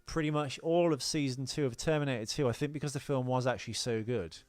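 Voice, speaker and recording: A man's voice: medium pitch, quick pace, no echo. A British man co-hosting a podcast in casual personal chat, talking bluntly and swearing freely. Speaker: podcast co-host.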